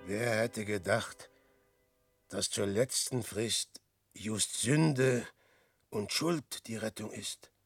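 An actor in a classic German radio play, playing an aging, despairing wanderer who speaks slow, declamatory verse. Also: male voice, fairly low pitch, husky, dry recording, faint music behind, full character voice. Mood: musing. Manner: slow, declamatory verse, swinging from loud outbursts to hushed murmurs. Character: Peer, an aging despairing wanderer facing death.

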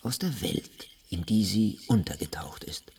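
Gravelly, smug male official. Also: on the low side, animated, measured pace, darkish tone, exaggerated voice. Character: smug male state acoustics official, scheming. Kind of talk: German radio play acting. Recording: slightly noisy old radio recording.

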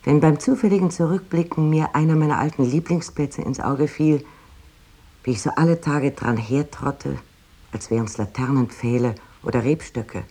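A woman's voice: low voice, moderate pace, dark tone. An actress playing a wry, rapturous woman poet of the 19th century, reading letters expressively in a radio play. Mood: wistful.

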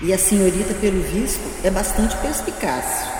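A woman playing the ghost of an older wronged woman in an audio drama, haughty, measured and cryptic. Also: medium pitch, animated, natural voice. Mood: haughty, wry. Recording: very echoey reverb, noisy ambience.

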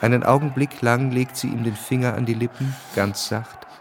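A man's voice: mid-range voice, steady tone, normal speed, a little hiss or hum, close to an everyday voice. A man narrating a historical radio play in a sober, scripted manner. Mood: quiet, intimate.

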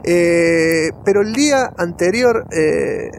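A man's voice: high-pitched, animated, takes it slowly, a little hiss or hum. A man with an Argentine accent co-hosting a podcast, sharing film trivia in a colloquial way and repeating words for emphasis. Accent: Argentine Spanish. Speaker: podcast co-host. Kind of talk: casual film trivia chat.

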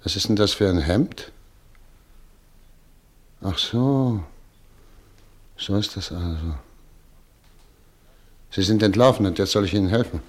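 An actor playing a calm, measured doctor in a radio play. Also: male voice, lowish voice.

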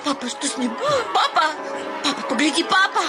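An actress playing a stubborn, argumentative young landowner's daughter in a comic radio play, melodramatic and insistent. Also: female voice, sits high, lively intonation, brisk. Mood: hysterical. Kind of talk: theatrical comic radio drama acting. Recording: loud music behind the voice.

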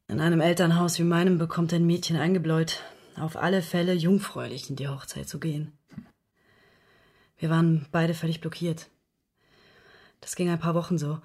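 An actress in a radio play playing a distressed woman who confides intimate matters in a halting, emotionally wounded way. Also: on the low side, a little mellow, breathy edge. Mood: confiding, troubled. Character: distressed woman confessing her secret love affair to investigator.

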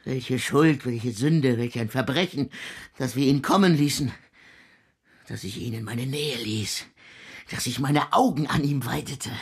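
Husky, anguished, bitterly defiant composer. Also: male voice, medium pitch, exaggerated voice. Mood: tormented self-reproach. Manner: declamatory, brooding, bitter. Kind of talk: literary radio drama acting.

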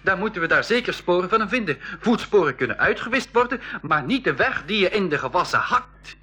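Male actor as a very bright, high-voiced boy. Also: very animated, fairly quick, husky, heavily acted. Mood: eager, curious. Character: eager young boy adventurer, inquisitive and cheeky. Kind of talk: 1980s school radio play acting.

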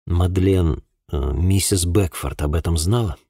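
Low, husky, earnest police investigator, read by a man. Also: unhurried, mellow, no echo, studio quality, heavily acted. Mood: careful, questioning. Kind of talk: acted audiobook dialogue.

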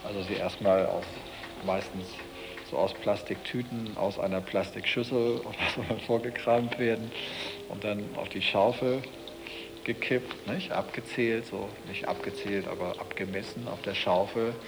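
A man with a low voice describes things in casual, conversational talk. Music is clearly heard behind him. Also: fairly even intonation, normal speed. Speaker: interviewee.

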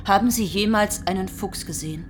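A woman's voice: medium pitch, brisk, faint music behind, close to an everyday voice. An actress in a German radio drama playing an anxious but defiant farm woman, earnest and insistent. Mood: probing.

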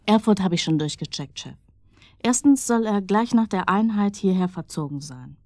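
An actress playing an efficient junior police detective reporting to the chief in a German radio drama. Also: female voice, mid-range voice, animated, moderate pace, darkish tone, dry recording, clean studio sound, close to an everyday voice. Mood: businesslike.

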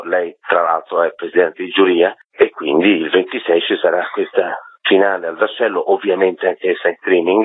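A man with a very low voice talks over a narrow, tinny phone line. His voice is husky and rough-edged, with no room sound at all. He speaks as a festival director answering radio interview questions. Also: no echo. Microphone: phone call.

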